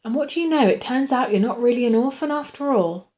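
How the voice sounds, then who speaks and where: A woman voicing a kindly, reassuring female nurse in an audiobook, soothing and gently teasing. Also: fairly high pitch, expressive, fast talker, voice pushed up, close to an everyday voice. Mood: teasing, friendly. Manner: soothing, lilting, sympathetic. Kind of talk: audiobook character.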